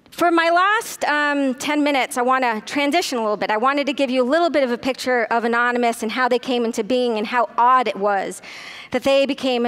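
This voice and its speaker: A middle-aged woman speaks from a podium microphone in a large conference hall, delivering a keynote lecture. Her voice sits high and comes across smooth and clean. She tells her story at a brisk clip, filling the pauses with ums and uhs. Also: a bit bright.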